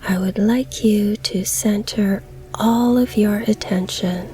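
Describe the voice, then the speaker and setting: A woman leading a scripted guided meditation in a soft, breathy, soothing delivery with long pauses. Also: mid-range voice. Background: calm background music.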